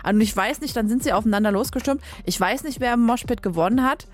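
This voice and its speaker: A woman's voice: on the high side, fast. A young woman from Leipzig hosting a radio music show, chatting casually and correcting herself as she goes. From Leipzig, Germany. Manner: chatty and informal, with frequent fillers and self-corrections. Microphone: studio microphone.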